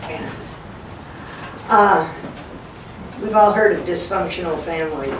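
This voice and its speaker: A woman's voice: on the low side, slow pace, background noise. An older woman giving a live storytelling talk in a folksy, conversational way, acting out the dialogue as a local historian would. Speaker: guest speaker, local historian. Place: indoor talk at a historic log cabin. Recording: roomy, distant microphone, music behind.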